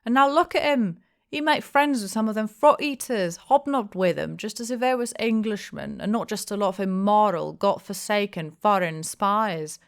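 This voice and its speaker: Lady doing a blustery english innkeeper guy for an audiobook, loud n emphatic, rustic talk, very sure of himself. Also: mid-range voice, normal speed, voice pushed up, lightly acted. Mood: indignant, blustering. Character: blustering, jovial, opinionated middle-aged male English innkeeper.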